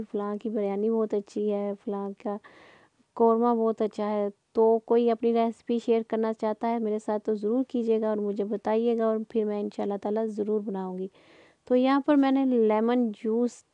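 Middle-aged woman, soft mellow tone, slightly high and fairly flat, chatty home-cook voice-over. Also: dry recording, highs cut off. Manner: conversational, rambling. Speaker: home cooking vlogger. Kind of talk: casual chatty cooking voice-over.

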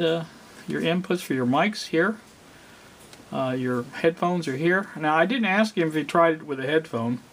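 A middle-aged man giving a casual, unscripted demonstration, halting and rambling as he thinks aloud. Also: on the high side, a little hiss or hum. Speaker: hobbyist presenter. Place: indoor home workspace.

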